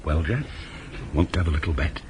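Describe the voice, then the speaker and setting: Deep-voiced, cynical old police inspector. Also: male voice, lively intonation, brisk. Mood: sardonic. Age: older. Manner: sardonic, blunt, grumbling. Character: gruff, cynical veteran police inspector nearing retirement. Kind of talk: 1980s British radio drama acting. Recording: noisy old radio broadcast tape.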